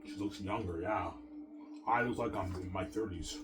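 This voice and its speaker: A man in his thirties with a lowish voice talks straight to his audience, circling back over the same points and tripping over his own restarts. He sounds sure of himself throughout. Music plays clearly underneath him. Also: normal speed. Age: 30s. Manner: repetitive, self-assured, restarts often. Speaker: vlogger. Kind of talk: rambling monologue to viewers.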